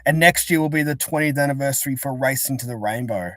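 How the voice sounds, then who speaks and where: A man's voice: medium pitch, fast talker, a bit bright, slight background noise. A man chatting casually as an interview guest, talking in a rambling, chatty way. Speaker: interview guest, fan-dub creator. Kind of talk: casual chat.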